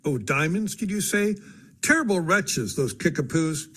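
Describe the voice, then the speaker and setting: A scatterbrained society widow voiced by a male reader chatters in a raised, fluttering voice. Her pitch swoops up and down as she hops from one thought to the next in this storybook reading. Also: animated, normal speed, a bit bright. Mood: chattering. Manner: scatterbrained, jumps between topics.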